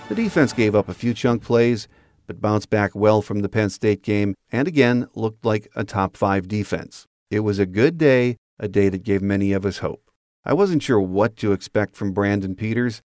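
Low-pitched American man hosting a sports podcast. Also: darkish tone, dry recording, studio quality. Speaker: podcast host. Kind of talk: scripted sports commentary monologue.

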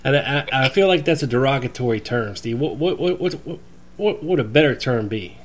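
A man's voice: medium pitch, expressive, fairly quick. A man hosting a casual interview podcast, friendly and chuckling with plenty of fillers. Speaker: podcast host.

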